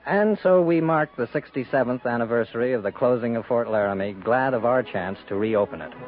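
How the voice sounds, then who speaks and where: An American man giving solemn, measured scripted narration in the manner of 1950s radio. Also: mid-range voice, soft background music. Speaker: director and narrator. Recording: narrow-band 1950s radio recording.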